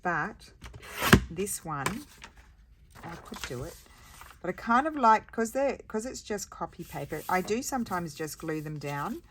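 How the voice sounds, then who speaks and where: A woman with a croaky voice, chatting casually and thinking aloud as she makes paper crafts at her craft table. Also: lowish voice, sing-song, a little slow, noisy background. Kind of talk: casual crafting chat while working.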